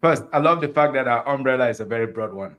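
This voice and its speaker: A man's voice: medium pitch, animated, fast talker, a bit bright. Chatty male host of a fireside chat. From Africa. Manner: enthusiastic, chatty, laughs easily. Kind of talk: virtual fireside chat hosting. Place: home video call. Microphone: video call.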